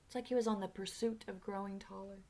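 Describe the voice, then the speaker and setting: A young woman at home teaching children new words and reading them a storybook aloud, with lively expression and soft whispered asides. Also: mid-range voice, quick pace. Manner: animated, drops to whispers for asides. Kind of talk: storybook read-aloud with vocabulary lesson.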